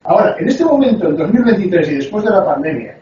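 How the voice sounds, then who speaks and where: A man with a high but mellow voice gives a reflective academic lecture. He lifts his pitch on the phrases he wants to stress. He sounds far away in a very echoey hall, with a dull tone and faint room noise. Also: very animated, fast talker.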